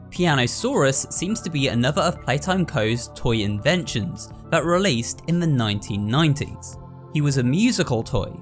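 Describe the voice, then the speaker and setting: A man hosts with scripted narration. His mid-pitched voice moves in a lively way, with soft music behind it. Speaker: video host. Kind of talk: scripted narration, trailer breakdown.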